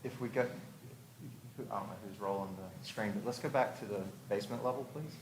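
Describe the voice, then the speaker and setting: A man's voice: fairly low pitch, brisk. A man giving an informal explanatory presentation at a public hearing, conversational and thinking aloud as he goes. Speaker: house designer presenting to a review commission. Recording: echoey room, clearly audible background noise.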